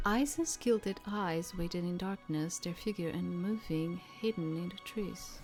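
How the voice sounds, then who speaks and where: Slow, lowish-voiced woman reading a visual novel. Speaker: game streamer. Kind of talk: let's play, reading visual novel narration aloud with casual asides. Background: soft game music.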